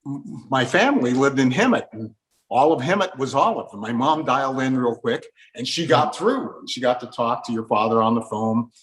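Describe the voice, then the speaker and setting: A man's voice: mid-range voice, lively intonation, moderate pace, poor audio. An older man hosting a conversational interview, reminiscing and explaining old broadcasts.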